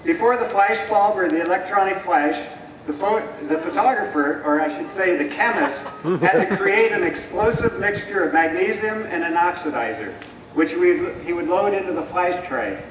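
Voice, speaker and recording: A man giving a scripted, explanatory historical narration in a measured, didactic way, like a presenter at a live dramatization. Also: sits high, expressive. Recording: muffled, echoey distant recording with clear noise.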